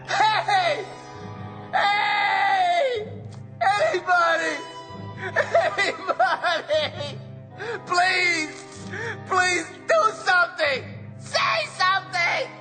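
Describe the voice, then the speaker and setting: An actor playing a hapless ordinary man in a radio drama, cocky or frantic, stammering and chattering nervously. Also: very high voice. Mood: desperate, pleading.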